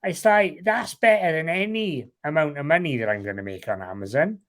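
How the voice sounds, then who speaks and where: A man's voice: fairly high pitch, lively intonation, a little fast, fairly bright tone. A man with a Welsh accent, a guest talking candidly in an interview, frank and rambling, swearing casually. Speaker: guest, online seller.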